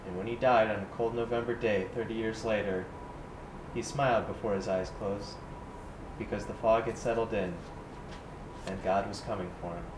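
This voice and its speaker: A man's voice: fairly low pitch, fairly even intonation, moderate pace, natural voice. A man playing an earnest writer in a staged reading of a literary drama. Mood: solemn. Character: earnest male writer presenting his own story. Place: indoor room at a staged reading. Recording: roomy, noisy live recording.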